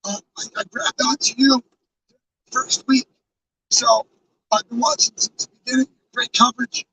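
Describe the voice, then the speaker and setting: Hoarse man streaming live, off-mic. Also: very high voice, level tone, moderate pace, bright, forceful tone, far from the mic, poor audio. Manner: earnest, rambling, many fillers and restarts. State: hoarse, losing his voice. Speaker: live streamer with a truck convoy. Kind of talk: casual live-stream talk to chat. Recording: off-mic with faint background noise.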